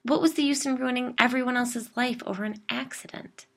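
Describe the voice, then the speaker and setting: A woman reading a story voices an anxious, defensive suburban woman who is haunted by a ghost and pleads in a high, strained voice. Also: moderate pace, creaky, breathy edge. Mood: distressed, pleading.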